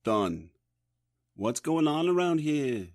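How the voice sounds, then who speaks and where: A man's voice: on the high side, big pitch swings, takes it slowly, very noisy. An actor playing a blunt, no-nonsense older man, a loyal prodding friend in an audio drama. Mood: curious, matter-of-fact.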